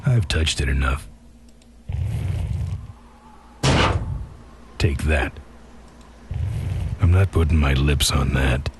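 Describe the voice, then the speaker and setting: Very deep, terse biker boss. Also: male voice, measured pace, background noise. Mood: dry. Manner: terse, deadpan. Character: tough, laconic biker gang leader. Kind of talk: scripted video game voice acting. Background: faint music.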